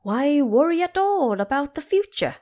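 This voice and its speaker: A woman's voice: high-pitched, sing-song, moderate pace, darkish tone, pitched above the performer's own voice. A woman voicing a devoted, carefree monkey subject in a storybook drama, exclaiming theatrically. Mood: cheerful, chiding.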